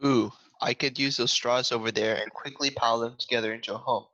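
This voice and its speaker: A man's voice: medium pitch, steady tone, fairly quick, sharp tone, natural voice. A man playing little storybook pig brothers in an amateur script read over a video call. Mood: sleepy. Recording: muffled video call audio.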